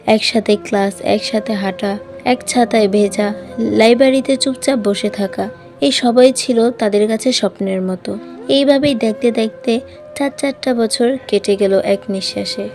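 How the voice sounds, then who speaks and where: A woman reads a scripted love story in a soft, heartfelt voice. Her tone is mellow and warm. Faint music plays under her narration. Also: fairly high pitch, measured pace. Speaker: voice-over storyteller.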